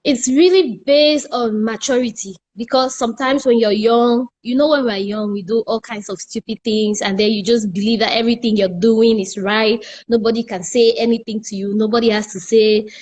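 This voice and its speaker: Cameroonian woman, fairly high voice, earnest, unhurried, remote call guest. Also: fairly even intonation, a little mellow. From northwest Cameroon. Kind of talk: casual conversation.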